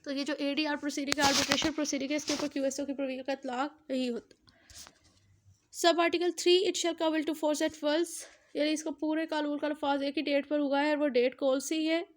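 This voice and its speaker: A woman with a Pakistani accent and a high-pitched voice teaches in an explanatory lecture style. She drops English terms into her explanations and restates key points so that they stick. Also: fairly even intonation, moderate pace, a little hiss or hum. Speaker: law lecturer. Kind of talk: explanatory exam-prep law lecture.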